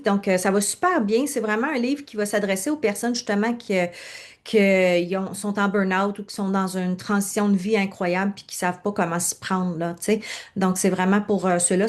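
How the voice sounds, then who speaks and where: A woman from Quebec with a Quebec French accent, speaking casually as a coach being interviewed. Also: mid-range voice. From Quebec, Canada.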